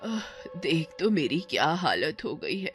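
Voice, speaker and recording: A woman voicing a scheming middle-aged village mother-in-law who whines and complains in drawn-out melodrama. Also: low voice, sing-song, music in the background. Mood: pitiful moaning.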